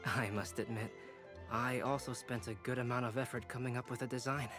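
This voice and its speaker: A thoughtful young male artist in a video game, played by a man. His mid-range voice is measured and polite, with composed, articulate phrasing over soft music. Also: mid-range voice, steady tone, lightly acted. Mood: calm, reflective. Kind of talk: video game character acting.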